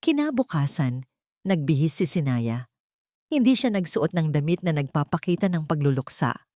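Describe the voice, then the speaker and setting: Lady narrator telling a drama story, lowish voice, really dry sound. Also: very animated, normal speed, mellow, dry recording.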